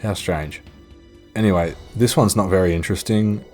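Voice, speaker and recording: A man's voice: low voice, moderate pace. A young man narrating a documentary-style video in a casual, informal, sweary and self-deprecating way. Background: background music.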